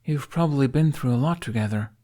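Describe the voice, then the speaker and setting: A man voicing an earnest young woman Viking warrior in an audiobook, speaking in a measured, thoughtful manner. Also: medium pitch, warm tone, pitched above the performer's own voice, natural voice. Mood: thoughtful, sympathetic. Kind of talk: audiobook character acting.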